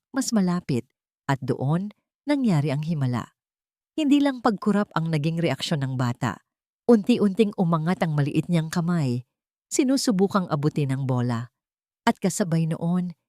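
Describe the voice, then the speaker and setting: A woman's voice: on the low side, a little mellow, no echo, studio quality. A woman reading a scripted story aloud in a dramatic narrating style. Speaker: audiobook narrator.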